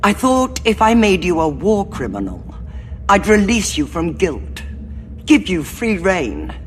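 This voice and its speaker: An actress playing a ruthless, manipulative cardinal who speaks coldly and commandingly. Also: female voice, mid-range voice, big pitch swings, measured pace, big-room sound, a little hiss or hum. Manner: cold and commanding.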